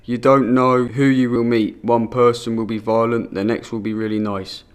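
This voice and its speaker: A man's voice: medium pitch, level tone, moderate pace, smooth. A young man speaking plainly and matter-of-factly in personal testimony for a short film.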